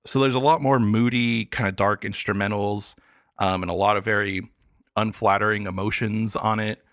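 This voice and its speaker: A man with a fairly low voice talks casually on an arts podcast. He explains haltingly, full of filler words, and the sound is dry and close. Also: fairly even intonation, fairly bright tone, dry recording. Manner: hesitant, filler-heavy explaining. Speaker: podcast guest reviewing pop albums. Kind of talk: casual arts talk chat.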